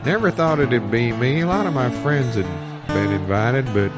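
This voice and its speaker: A man's voice: medium pitch, lively intonation, moderate pace, lightly acted. A man playing a folksy, wry older storyteller, reminiscing without hurry in an improvised comic monologue. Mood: wry, wistful. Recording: guitar music behind the voice. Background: plucked guitar music.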